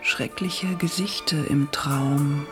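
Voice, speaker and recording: An actress playing a mysterious, seductive opera singer who appears like a dream in a radio play, with a breathy, enigmatic delivery. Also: female voice, deep voice, fairly even intonation, music in the background. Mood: hushed, enigmatic. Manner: slow, enigmatic, probing. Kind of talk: literary radio play acting.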